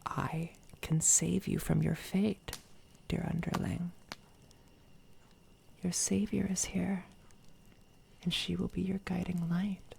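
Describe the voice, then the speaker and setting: A woman voicing a sinister, megalomaniac evil doppelganger in a soft-spoken ASMR roleplay, breathy and often whispering, with deliberate, grandiose, condescending delivery. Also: lowish voice, little pitch movement, a little hiss or hum, full character voice. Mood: fervent, coaxing. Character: sinister female evil doppelganger, coldly megalomaniac would-be ruler. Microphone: close binaural microphone.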